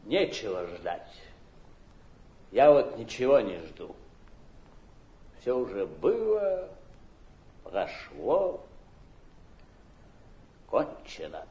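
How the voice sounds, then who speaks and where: A man's voice: fairly low pitch, very animated, drawn-out words, long pauses, mellow, full character voice. An actor playing a weary, disillusioned man with a resigned stage delivery, in a dull echoey recording. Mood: bitter resignation. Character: weary, disillusioned man who expects nothing more. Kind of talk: theatrical stage acting.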